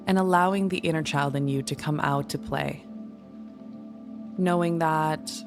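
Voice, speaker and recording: Soothing lady doing guided meditation, really slow, deep-ish voice, music playing under it. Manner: soothing, gentle, unhurried instruction.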